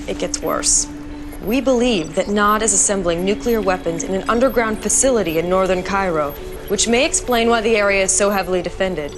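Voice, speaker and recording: A serious intelligence officer played by a woman delivers a game mission briefing in a mid-pitched voice. She is precise and businesslike, with music clearly behind her. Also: natural voice. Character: brisk, serious female military intelligence officer.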